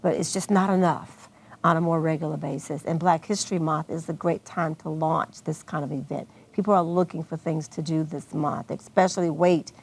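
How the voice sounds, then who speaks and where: A woman's voice: low-pitched, steady tone, moderate pace, a little mellow. An American woman answering questions as a guest organizer in an unscripted television interview. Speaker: guest, arts festival organizer.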